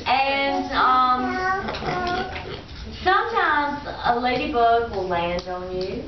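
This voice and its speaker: High-voiced woman in a very echoey room. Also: slow. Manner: addresses children, leading a sing-song rhyme. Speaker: children's puppet show presenter. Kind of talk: live storytelling with audience chant. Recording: echoey room, distant dull mic, noisy crowd. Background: audience of children.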